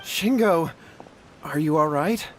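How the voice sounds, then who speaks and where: A man's voice: fairly high pitch, animated, slow, a little hiss or hum, low-quality recording. An actor playing an anxious, cowardly young man in a video game, nervous and pleading. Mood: contrite.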